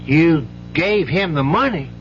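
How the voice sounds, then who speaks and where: Higher-pitched folksy uncle, old radio. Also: male voice, expressive, slow. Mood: frustrated, regretful. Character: genial bank-teller uncle, protective of his brother's family. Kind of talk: old-time radio drama acting. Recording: dull, noisy old radio recording.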